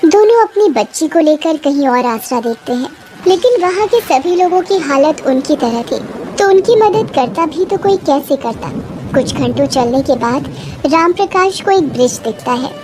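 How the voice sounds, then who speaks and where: A woman's voice: sits high, lively intonation, normal speed, darkish tone, a little hiss or hum, poor audio. A woman reading scripted, dramatic story narration.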